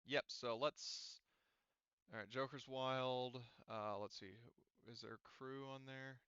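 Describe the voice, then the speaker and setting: A man's voice: medium pitch, steady tone, slow pace, a bit bright, clean tone, no room sound, lo-fi sound. A man running a tabletop game as game master, talking casually and informally with the players.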